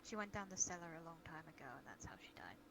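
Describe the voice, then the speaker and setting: A woman's voice: fairly low pitch, very animated, very fast, vocal fry. A woman speaking in improvised roleplay dialogue, recorded over online voice chat. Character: protective female pack leader in a fantasy world. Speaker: roleplay participant. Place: virtual reality voice chat. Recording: noisy online voice chat, background ambience.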